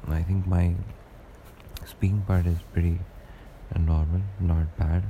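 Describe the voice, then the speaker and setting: A young man with a deep voice, rambling through a casual solo podcast monologue with lots of hesitations. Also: level tone, measured pace, phone-quality sound, a little hiss or hum. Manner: rambling and hesitant, many fillers and restarts. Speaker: podcast host.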